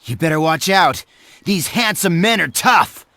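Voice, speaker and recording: An actor playing a boastful, excitable comic book writer in an animated game cutscene, theatrical and exclamatory. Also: male voice, fairly high pitch, unhurried, dry recording, full character voice. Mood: boastful warning.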